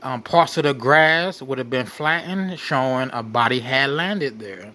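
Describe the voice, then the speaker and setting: A man hosting a crime commentary, reading out case details in a halting way and often repeating his phrases. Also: mid-range voice, bright, forceful tone, slight background noise. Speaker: show host.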